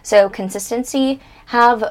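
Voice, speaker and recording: A woman's voice: a little high, measured pace. A woman in her twenties, a guest in a casual interview, talking bluntly and candidly and swearing freely. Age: 20s.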